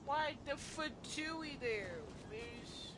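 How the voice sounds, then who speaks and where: A woman's voice: high voice, drawn-out words, background noise. A woman giving casual, rambling, fannish commentary as she streams a game playthrough, with plenty of slang. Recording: game music behind.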